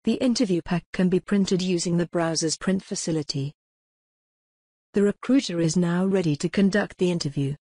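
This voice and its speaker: A woman reading scripted tutorial narration in a measured, impersonal, step-by-step way. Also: fairly low pitch, mellow, dry recording, studio quality.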